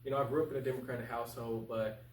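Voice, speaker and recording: A man's voice: mid-range voice, little pitch movement, racing. A man speaking informally as an interview guest and political candidate, earnest and plain-spoken. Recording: echoey, distant mic with faint noise.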